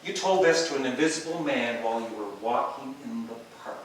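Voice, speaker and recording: A man's voice: mid-range voice, fairly quick, lightly acted. Man acting an exasperated jealous husband, very echoey. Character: exasperated jealous husband at the end of his rope. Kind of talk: stage play rehearsal acting. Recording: distant mic, echoey room, faint noise.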